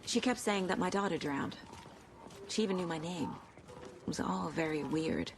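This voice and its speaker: A woman's voice: fairly low pitch, background noise, lightly acted. An actress playing a composed, businesslike female federal investigator in a naturalistic video game style. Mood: focused. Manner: businesslike, measured, matter-of-fact.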